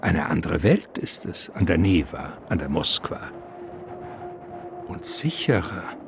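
A man narrating a radio play in an expressive, theatrical style, with suspense and irony. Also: low voice, takes it slowly, vocal fry, noisy background.

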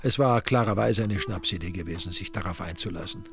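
An actor narrating a radio crime drama in a dry, ironic, literary way. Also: male voice, low-pitched, fairly quick, creaky. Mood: dry, wry. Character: wry male narrator of a village crime story. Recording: music behind the voice.

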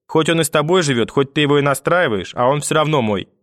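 A man's voice: a little high, lively intonation, fast talker, a bit bright, no room sound, studio quality, voice pushed up. A man voicing a wounded, reproachful mother who pleads, in an audiobook reading. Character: hurt, reproachful, tearful mother, adult woman. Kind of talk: audiobook character acting.

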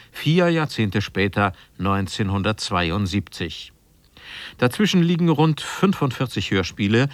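A man presenting a scripted radio introduction in a formal, factual and measured manner. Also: lowish voice, fairly bright tone, no echo. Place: dry radio studio.

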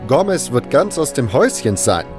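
A man's voice: medium pitch, very animated, moderate pace, over music, voice pushed up, lightly acted. A man voicing a jovial, beaming mine boss in a fantasy audio drama, hearty and exuberant. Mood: beaming, excited.

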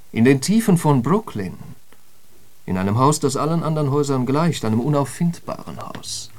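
An actor as an eloquent intellectual holds forth in a literary radio play. His middle-pitched male voice is emphatic and declamatory, with the bite of a polemicist. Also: a little mellow, natural voice. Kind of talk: literary radio drama monologue.

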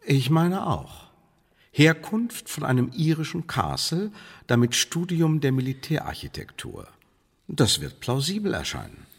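A man's voice: on the high side, wildly swooping pitch, a little slow, vocal fry, breathy edge, exaggerated voice. An actor playing a stern, cautious British spymaster in a German radio play, speaking formally and measuredly as he warns and briefs. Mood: firm, cautious. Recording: reverberant hall-like room.

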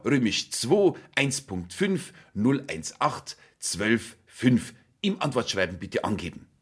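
A man's voice: lowish voice, slightly rough. A man giving a dramatic reading of official letters in a mock-formal, emphatic manner.

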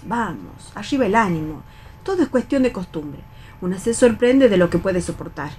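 A middle-aged Argentine actress playing a bossy, resigned, wary factory worker of nearly sixty in a radio theatre reading, instructing and admonishing colloquially. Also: female voice, mid-range voice, animated, normal speed, dark tone, a little hiss or hum, lightly acted. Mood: wary, commanding.

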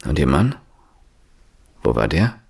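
Police interrogator, husky, animated pitch, insistent, deliberate, naturalistic radio play. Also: male voice, full character voice. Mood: stern, probing. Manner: deliberate, pressing questioning. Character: male police inspector, insistent, probing interrogator. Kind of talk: naturalistic German radio drama acting.

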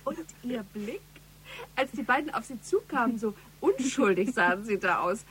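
A woman's voice: on the high side, animated, moderate pace, fairly bright tone, breathy edge, full character voice. An actress playing a shrewd, self-possessed female police investigator in a German radio crime drama. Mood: amused, admiring. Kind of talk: German radio play dialogue.

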